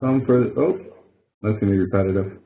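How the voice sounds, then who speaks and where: A man's voice: fairly low pitch, brisk, gravelly. A man leading an online help session as instructor, explaining things step by step in an informal, folksy way. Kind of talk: casual screen-share walkthrough of a spreadsheet exercise. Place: online web conference. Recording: muffled narrow phone-like audio.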